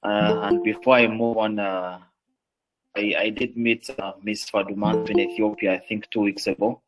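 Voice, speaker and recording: A man's voice: lowish voice, level tone, normal speed, over music, rough recording. A man in his late twenties with a Ugandan accent, introducing himself as a panelist. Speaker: panelist, aviation business development manager.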